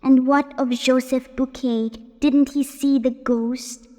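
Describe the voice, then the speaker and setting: A woman's voice: sits high, level tone, slow, warm tone. An actress playing a curious, spooked young opera girl trading rumours in an audio drama. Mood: spooked curiosity. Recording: long hall reverb under violin music.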